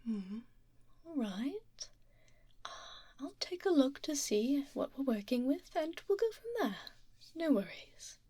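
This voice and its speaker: A woman voicing a calm, courteous female doctor in an ASMR roleplay, soft and half-whispered, reassuring and unhurried, close to the microphone. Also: high voice, no room sound, full character voice. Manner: unhurried, reassuring, murmuring little acknowledgements. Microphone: close binaural ear microphone.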